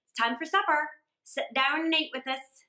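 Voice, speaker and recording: A woman's voice: sits high, normal speed, bright, forceful tone. A woman voicing a sinister, thin old hag in a children's storybook, ominously hospitable. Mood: sinister welcome. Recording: roomy indoor echo.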